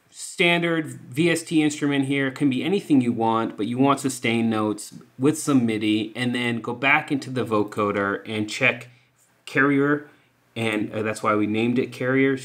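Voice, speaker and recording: A man hosting a music software tutorial, explaining informally and thinking aloud as he goes. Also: mid-range voice, steady tone, moderate pace. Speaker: music production tutorial host.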